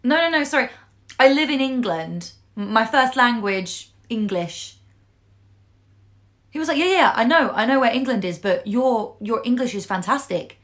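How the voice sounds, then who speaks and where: A woman's voice: on the high side, expressive, normal speed, a bit bright. A woman telling a casual anecdote in an exasperated, incredulous way. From Wales.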